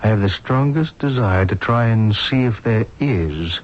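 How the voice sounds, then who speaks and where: Low, husky, somber doctor. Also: male voice, animated. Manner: somber, deliberate. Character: grave, troubled middle-aged male doctor in radio mystery. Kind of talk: 1970s radio drama acting. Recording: dull lo-fi old radio recording.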